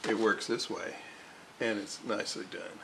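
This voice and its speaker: A man giving a casual hands-on repair walkthrough, halting and muttering to himself as he works. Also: fairly low pitch, steady tone, gravelly, sharp tone, slight background noise. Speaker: hobbyist radio restorer, presenter.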